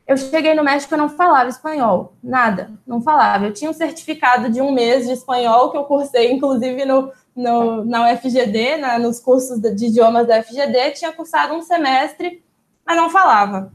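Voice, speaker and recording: A young Brazilian woman, a doctoral student, answering interview questions in a relaxed, explanatory way, with frequent small fillers and restarts. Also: sits high, brisk. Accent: Brazilian Portuguese. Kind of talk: casual interview storytelling.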